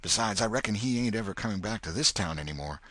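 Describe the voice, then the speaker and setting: A man voicing a scared, superstitious young village boy who speaks in a rustic backwoods dialect, hushed and fearful. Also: lowish voice, expressive, fast, no echo, voice pushed up. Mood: frightened.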